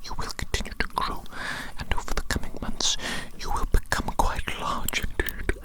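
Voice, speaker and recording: A performer voicing a doting evil doctor in a breathy whisper, cooing slow, repetitive praise in an ASMR medical roleplay. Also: close to an everyday voice. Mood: tender, reassuring. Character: doting evil doctor growing a tiny creature.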